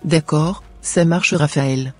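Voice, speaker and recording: A man voicing a meek, higher-pitched young woman. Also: slow, a little mellow, breathy edge, faint music behind. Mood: obedient. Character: meek, polite young woman, grateful believer.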